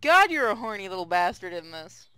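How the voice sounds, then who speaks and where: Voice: mid-range voice, very animated, fairly quick, pushed and bright. A co-streamer chatting casually over a game, blunt, crude and teasing, sometimes reading a line of dialogue. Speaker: co-streamer commenting and reading lines.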